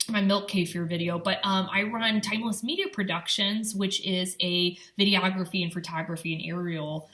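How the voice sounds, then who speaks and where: Bright, upbeat Michigan woman vlogging. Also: lowish voice. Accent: Upper Midwest American. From Michigan, USA. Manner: upbeat, encouraging, chatty with many fillers. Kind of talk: casual motivational vlog.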